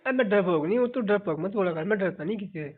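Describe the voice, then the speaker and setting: A man's voice: high-pitched, expressive, quick pace. Young man on a tinny phone. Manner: bantering, argumentative. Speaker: younger-generation family member, brother on a call. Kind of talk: casual teasing phone chat. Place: phone call. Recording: tinny narrowband phone call.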